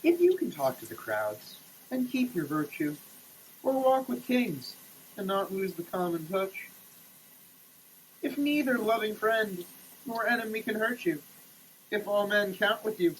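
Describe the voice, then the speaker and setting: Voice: medium pitch, very animated, a little slow, pauses often, slight background noise, poor audio. A person giving a theatrical, declamatory dramatic reading of printed text. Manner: theatrical, declamatory, with muttered asides. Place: shower.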